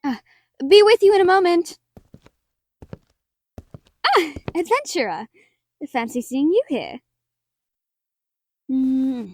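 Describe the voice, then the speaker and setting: A woman playing a wry, affectionate young merchant in a fantasy audio drama, gently teasing and a little hesitant. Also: high-pitched, lively intonation, slow, long pauses. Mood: casual, friendly.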